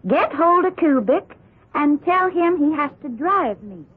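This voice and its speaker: High-voiced, opinionated housekeeper. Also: female voice, expressive, measured pace. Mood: indignant, emphatic. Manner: folksy, emphatic, gossipy. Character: opinionated, plain-spoken housekeeper testifying, loyal to her employer. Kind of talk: 1970s radio drama acting. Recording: muffled 1970s radio broadcast.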